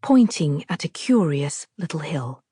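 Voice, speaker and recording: A woman reading a novel aloud as a scripted literary narration, in a measured, deliberate, expressive storytelling manner. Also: low voice, husky, dry recording, clean studio sound. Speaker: author narrating her own audiobook.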